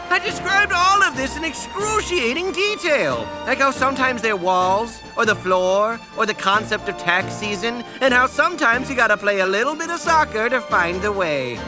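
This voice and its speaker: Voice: medium pitch, close to an everyday voice, low-quality recording. An actor playing a smug comic narrator, delivering scripted parody narration in a rambling, mock-grandiose way. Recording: music behind the voice.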